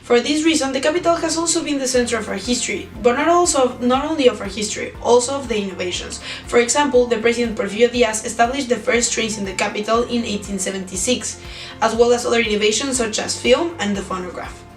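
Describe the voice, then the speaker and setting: A woman's voice: on the high side, fast. A young woman, in Spanish, reads her school presentation aloud in careful, formal English. Her voice rings in a roomy space with a long reverb tail, and faint music plays underneath. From Mexico. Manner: careful, formal reading with small restarts. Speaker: student presenter.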